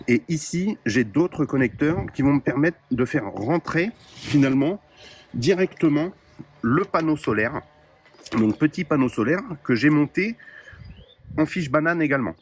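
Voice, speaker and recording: A man casually presenting his radio kit to viewers, talking in a relaxed way with many pauses and fillers. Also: medium pitch, a little hiss or hum. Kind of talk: casual hands-on gear demo.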